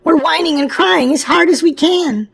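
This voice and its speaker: A woman's voice: high voice, moderate pace, vocal fry, voice pushed up. A woman voicing a strange, weepy little old goblin man who whines, in a storybook. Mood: weepy whining. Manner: whining.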